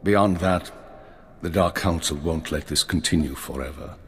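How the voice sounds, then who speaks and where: A man's voice: low-pitched, moderate pace, slight background noise, close to an everyday voice. An actor voicing a stern middle-aged male spymaster who briefs an agent in a clipped, commanding tone, in a video game cutscene. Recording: long hall reverb.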